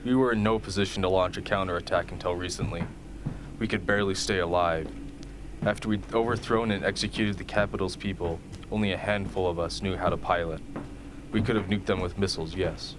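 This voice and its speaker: A man acting a measured, pragmatic military officer in an amateur radio drama. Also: low voice, steady tone, natural voice. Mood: serious, defensive. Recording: noisy hobby recording.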